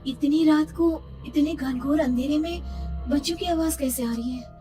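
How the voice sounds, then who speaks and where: Casting: actress for terrified pregnant young woman narrating a horror tale, higher voice, suspenseful and theatrical, recorded distant. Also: moderate pace, lightly acted. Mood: suspenseful dread. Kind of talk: dramatic first-person horror story narration. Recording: distant-sounding voice under loud music. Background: loud background music.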